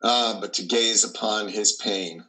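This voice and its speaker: A man performs an elderly priest in a stage drama, troubled and philosophical. His voice is low but very bright and forceful, with deliberate, theatrical, musing phrasing. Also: fairly even intonation, low-quality recording. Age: 60s or older. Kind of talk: dramatic monologue in play reading. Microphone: video call, close to camera.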